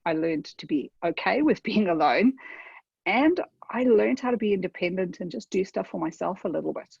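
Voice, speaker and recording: A woman's voice: medium pitch, expressive, normal speed, creaky, a little mellow, breathy edge, no echo, lo-fi sound. A woman from South Africa with a South African accent, giving a conversational webinar talk and checking in with her audience often. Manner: conversational, addresses audience directly with frequent "okay?". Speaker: webinar presenter. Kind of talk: webinar presentation.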